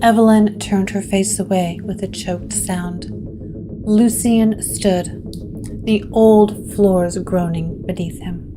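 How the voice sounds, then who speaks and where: A woman narrator reading scripted gothic horror in a measured way, with dramatic pauses. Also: medium pitch, steady tone, over music, rough recording.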